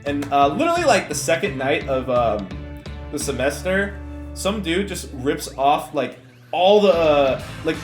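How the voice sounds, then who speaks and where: Young adult male storyteller, Californian accent, animated vlog chat, very roomy sound over light guitar music. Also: fairly high pitch. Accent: Californian American. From California, United States. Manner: animated, slangy, self-mocking. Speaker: YouTuber telling stories. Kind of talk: casual storytime chat to viewers. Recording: echoey room with music bed. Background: background music with guitar.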